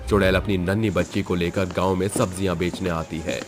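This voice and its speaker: A man's voice: sits low, steady tone, fairly quick. A man narrating a scripted horror story in a dramatic storytelling style.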